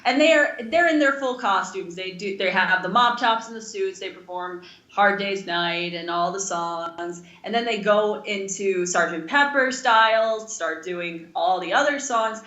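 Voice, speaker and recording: Young woman, bright forceful voice, echoey room, casual podcast chat, enthusiastic and rambling. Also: mid-range voice, a little slow, lo-fi sound. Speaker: podcast co-host.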